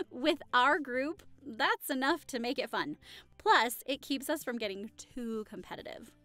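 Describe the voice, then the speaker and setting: A woman's voice: sits high, sing-song, slow, a bit bright, dry recording, heavily acted. A woman playing a friendly, affectionate young hostess in an audio roleplay, chatting cheerfully and reassuringly to the listener. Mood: chatty, explaining. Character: friendly, affectionate young woman hosting a Halloween costume party.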